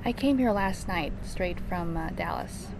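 A woman's voice: fairly low pitch, animated, measured pace, vocal fry, poor audio. Polite young woman from Korea, outdoor noise. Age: 20s. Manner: polite, soft-spoken, laughs lightly. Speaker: professional golfer, guest. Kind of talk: interview answers. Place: outdoors on a lawn at a golf course. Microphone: handheld mic held by interviewer.

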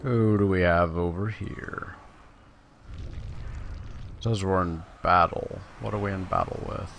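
A man's voice: low voice, steady tone, a little slow, creaky, a bit bright, a little hiss or hum. A man giving playful, casual commentary as he plays a video game, talking to himself and the game. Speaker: gaming video host.

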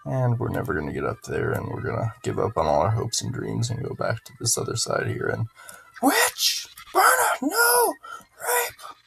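A man's voice: sits low, sing-song, quick pace, creaky, few pauses, background noise. A man giving casual, rambling gameplay commentary, swearing and muttering to himself as he plays. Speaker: gaming video host. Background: video game music.

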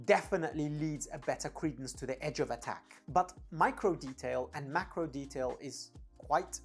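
Male reviewer, thirties, chatty and opinionated delivery on a lapel mic, soft music underneath. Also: on the high side. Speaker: audio gear reviewer. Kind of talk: conversational product review to camera. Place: dim home studio room, indoors. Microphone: clip-on lavalier mic on the shirt. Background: faint background music.

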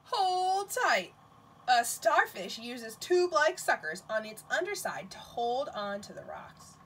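High, expressive woman reading to children. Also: slow pace, a little hiss or hum. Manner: expressive, questioning, talks directly to children. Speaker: nursery school teacher reading aloud. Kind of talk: picture-book read-aloud to young children.

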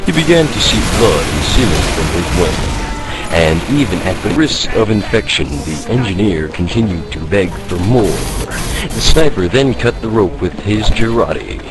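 A man's voice: low-pitched, big pitch swings, moderate pace. A man giving a mock-serious reading of an amateur fan fiction story. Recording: loud game music over voice chat.

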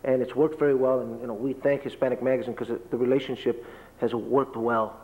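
A man speaking as a panelist in a large lecture hall, explaining at length in a steady way and listing things. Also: mid-range voice, a little fast. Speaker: panelist, business association leader. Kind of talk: panel discussion answer. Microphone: table microphone. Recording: reverberant hall, dull lo-fi tape.

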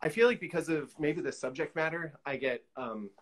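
A man's voice: fairly high pitch, fairly quick. A man in his forties chatting casually as an interview guest, peppering his talk with "you know" asides. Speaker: interview guest, collage artist. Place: small indoor room with pinned pictures, video call.

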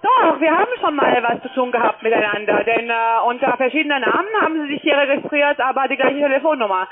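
A man making a phone sales call as a call center agent, speaking in a halting, hesitant way and searching for words. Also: extremely high pitch, animated. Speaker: call center caller selling crypto trading. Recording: muffled phone line, busy call-center chatter.

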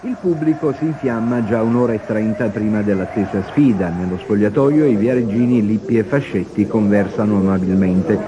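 A man's voice: on the low side, normal speed, hardly any pauses. A man reading a scripted football match report as a television sports reporter. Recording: noisy archive TV broadcast.

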